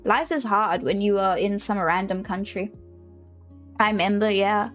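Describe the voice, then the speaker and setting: A woman's voice: mid-range voice, normal speed, a bit bright, soft background music. A woman from South Africa with a South African English accent, chatting casually on a game stream, rambling and correcting herself as she goes. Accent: South African English, with a British-leaning lilt. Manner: rambling, self-correcting, laughs often.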